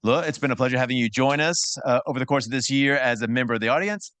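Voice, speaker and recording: Fast-talking male seminar host, dry sound. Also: medium pitch, clean tone, no room sound. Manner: warm, formal welcoming phrasing. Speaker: seminar host introducing the speaker. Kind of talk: formal speaker introduction.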